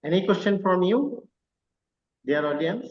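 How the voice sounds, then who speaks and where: A man's voice: high voice, expressive, measured pace, echoey room, highs cut off. A man from Bangladesh, co-hosting an online lecture and giving formal, courteous closing remarks with many hesitant fillers. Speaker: co-host, visiting assistant professor.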